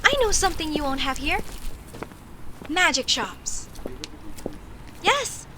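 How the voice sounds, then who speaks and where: A woman's voice: sits high, slow pace, noisy background, heavily acted. A woman playing a young elvish mage in a comedic audio roleplay, outspoken, curious and easily indignant as she talks to the listener. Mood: proud, eager. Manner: expressive, questioning, proudly defensive.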